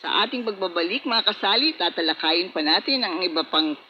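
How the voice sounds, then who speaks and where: A woman's voice: fairly low pitch, expressive, a little fast. A woman with a Filipino accent co-hosting a conversational radio health show in an agreeable, affirming manner. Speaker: radio co-host. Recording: muffled, noisy AM radio aircheck.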